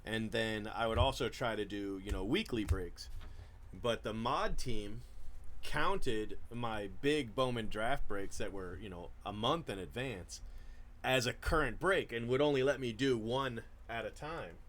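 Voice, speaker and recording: A man's voice: on the low side, measured pace, fairly bright tone. A man hosting a trading card break, chatting casually about his past with many fillers and restarts. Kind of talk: casual chat while opening cards.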